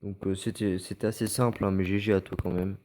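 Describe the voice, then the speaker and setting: A French-accented man talks through recorded game matches in a relaxed, rather low voice. He is stuffy from a cold and keeps stopping to restart with fillers. His tone stays mellow and soft throughout. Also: a little fast. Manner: hesitant, many fillers and restarts. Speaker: gameplay commentator. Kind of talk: casual gameplay commentary over recorded matches.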